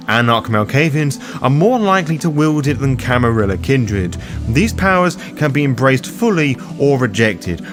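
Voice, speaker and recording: A man narrating a scripted roleplaying guide as its host, theatrical and formally worded, with playful asides. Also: medium pitch. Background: background music.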